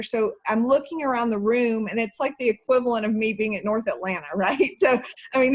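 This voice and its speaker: A woman, teaching an online church class through earnest personal stories. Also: fairly high pitch. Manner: earnest, personal storytelling with soft laughs.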